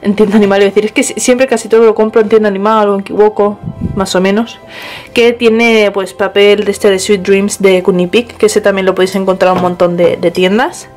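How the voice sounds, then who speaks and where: Chatty girl giving a home tour, mid pitch, handheld cam, soft music behind. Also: level tone, brisk. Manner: chatty, restarts and self-corrections. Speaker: pet vlogger. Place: bedroom at home. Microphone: handheld small camera.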